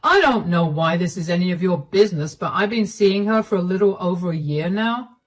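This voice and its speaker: A woman's voice: fairly low pitch, expressive, brisk. Cold smug husband, middle-aged guy, blunt and dismissive, read by a woman. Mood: condescending. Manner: condescending, blunt.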